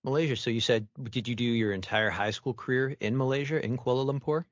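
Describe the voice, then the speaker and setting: A man's voice: mid-range voice, fairly quick, dry recording, lo-fi sound. A man hosting an interview podcast, delivering introductions and questions to a guest.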